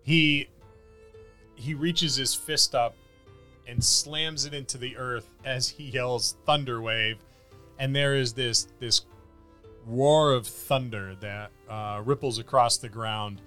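A man runs a tabletop fantasy game with a bright, crisp voice. He takes his time, pausing as he builds vivid descriptions of the action. Music plays behind him. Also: medium pitch, steady tone. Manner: halting, vivid descriptive narration. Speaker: game master. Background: background music.

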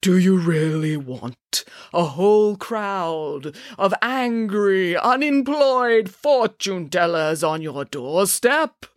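A stern, theatrical storybook sorceress, resonant and imperious. Each phrase is drawn out slowly and intoned with big sweeps of pitch, recorded dry and close. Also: female voice, lively intonation, no room sound. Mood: intoning, imperious. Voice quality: resonant, booming. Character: grand exotic fortune-teller sorceress, stern and theatrical.

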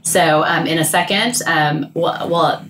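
Woman podcast co-host: loud, booming, lower-pitched, eager, recorded in a roomy space. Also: a little slow, slight background noise. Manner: enthusiastic, many fillers and restarts. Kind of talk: casual chat.

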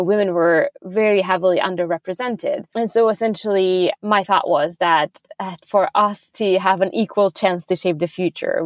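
A woman's voice: on the low side, no room sound. A young woman from Hungary, a startup founder, answering interview questions in an earnest, fluent way with small restarts.

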